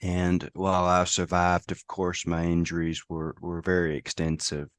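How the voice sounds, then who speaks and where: A man from the United States, a safety professional, talking hoarsely in a casual interview, halting with many restarts. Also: sits low, completely flat delivery, dry recording. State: hoarse from a lost voice.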